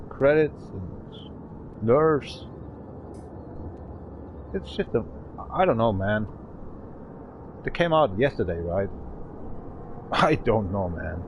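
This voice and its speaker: A man's voice: mid-range voice, background noise. A man in his thirties streaming a game, rambling and swearing in a frustrated way. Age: 30s. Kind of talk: casual gaming stream chat.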